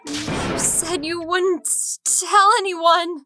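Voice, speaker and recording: A woman's voice: extremely high pitch, steady tone, full character voice. Piercing, overwrought gloomy teen girl. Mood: pleading, anguished. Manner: melancholy, halting, overwrought. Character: gloomy, anxious, desperate teenage girl with split personality. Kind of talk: anime game fandub acting. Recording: loud music behind. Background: music.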